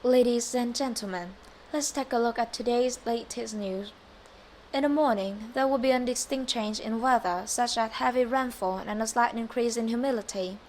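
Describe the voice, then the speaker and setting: A woman's voice: on the high side, moderate pace, a little mellow, a little hiss or hum, close to an everyday voice. A teen girl playing a formal news anchor. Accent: Vietnamese. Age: teens. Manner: formal scripted reading. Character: formal female news anchor and talk show host. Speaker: news anchor and talk show host. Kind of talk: mock news broadcast.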